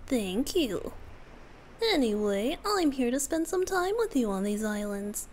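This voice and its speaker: A woman voicing a youthful male wind-spirit bard, soft, gentle and teasing, often breathy, in a romantic ASMR roleplay. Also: fairly high pitch, very animated, a little slow. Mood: cheerful, teasing.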